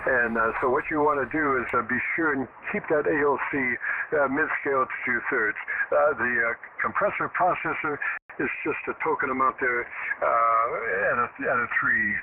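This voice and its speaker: A man's voice: medium pitch, normal speed. A man heard over shortwave radio, an amateur operator giving folksy, explanatory advice in a casual technical chat. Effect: radio transmission. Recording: narrow, very noisy shortwave radio signal.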